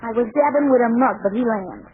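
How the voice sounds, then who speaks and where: Woman playing high-voiced, plucky young American heroine. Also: lively intonation, fast, lightly acted. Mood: flustered. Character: plucky, quick-witted young woman innkeeper. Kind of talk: 1940s radio comedy acting. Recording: noisy narrow-band 1940s radio recording.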